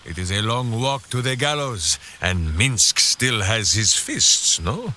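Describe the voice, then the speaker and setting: Big simple hearty game warrior, super swoopy pitch, takes his time, theatrical. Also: very animated, takes it slowly, pushed and bright, slight background noise, heavily acted. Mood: boastful storytelling. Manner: boisterous, theatrical, refers to himself in third person. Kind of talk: video game character acting.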